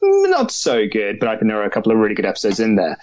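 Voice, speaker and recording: A man's voice: lowish voice, wildly swooping pitch, fast, a little mellow. A man chatting casually as a returning guest on a podcast. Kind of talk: casual chat. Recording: dry, dull lo-fi voice track.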